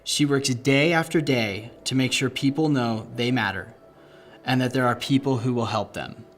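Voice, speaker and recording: A man's voice: medium pitch, normal speed. A man reading a written tribute aloud as scripted narration. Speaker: reader of nomination stories. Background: background music.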